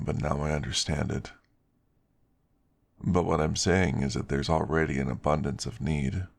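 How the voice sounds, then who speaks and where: A man's voice: rumbling low, gravelly, voice pushed down, full character voice. A man voicing an earnest male werewolf in an ASMR story. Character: earnest male werewolf explaining his resort's work. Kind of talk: ASMR story character dialogue.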